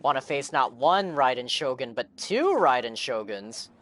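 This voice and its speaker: A male game streamer giving live, excitable, exclamatory reactions to a game. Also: mid-range voice, moderate pace. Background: game music.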